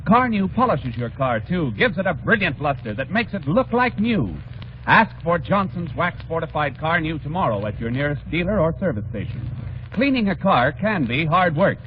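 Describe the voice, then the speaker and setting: An American man reading a scripted 1940s radio commercial as an announcer. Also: a little high. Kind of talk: 1940s radio advertising read. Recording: dull, faintly noisy old radio.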